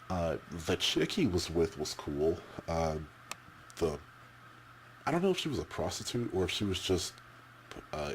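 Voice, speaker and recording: Male vlogger, deep voice, halting unscripted chat with repeated words, noisy camera-mic recording. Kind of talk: casual off-the-cuff opinion chat.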